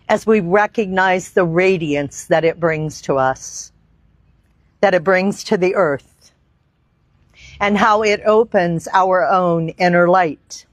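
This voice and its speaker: A woman teaching yoga, leading a guided meditation in deliberate, reverent phrases with long pauses. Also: medium pitch, no echo. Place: outdoor park.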